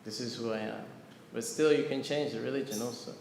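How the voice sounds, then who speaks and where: A man gives a scripture class, explaining patiently and turning to his listeners with questions. His voice sits at an ordinary middle pitch. It rings through a large, echoey hall with a long reverb tail and faint room ambience behind it. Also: fairly quick, vocal fry, a little mellow, a little hiss or hum. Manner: earnest teaching, asks audience questions. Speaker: lecturer on scripture. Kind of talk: devotional class with chanting.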